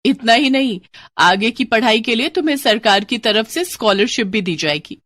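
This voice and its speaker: A woman's voice: fairly high pitch, animated, moderate pace, fairly bright tone, no room sound, clean studio sound. A woman playing a kind schoolteacher in a moral story, speaking in a proud, encouraging manner. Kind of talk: acted dialogue in a moral story.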